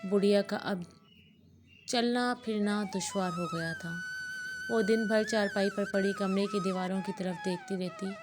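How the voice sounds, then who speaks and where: Low-pitched woman narrating a story over music. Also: fairly even intonation, unhurried. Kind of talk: scripted narration of a moral story. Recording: music playing behind the voice. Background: background music.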